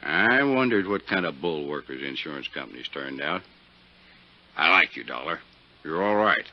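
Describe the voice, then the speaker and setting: A man's voice: a bass voice, lively intonation, moderate pace. An actor playing a shrewd, folksy small-town sheriff in a 1950s radio drama, with fatherly, rustic talk. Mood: approving. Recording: dull 1950s radio recording.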